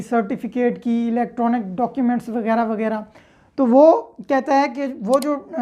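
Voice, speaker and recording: High-pitched Pakistani woman teaching. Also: darkish tone. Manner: reads clauses aloud, then explains with simple examples. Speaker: law teacher. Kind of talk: explanatory law lecture.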